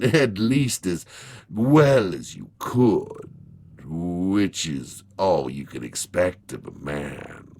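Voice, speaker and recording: A man's voice: sits low, creaky, a little mellow, heavily acted. A man voicing a testy, officious supernatural scissors grinder in a dramatized story, drawing out his words in a businesslike way. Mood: resigned.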